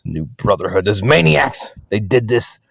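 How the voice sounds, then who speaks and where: A man acting as a frantic, vengeful man in a game cutscene, ranting with a raspy, creaky voice. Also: lowish voice, very animated, moderate pace, a little hiss or hum. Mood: anguished, outraged. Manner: ranting, emphatic repetition. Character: frantic, vengeful man raging at ruin of plans.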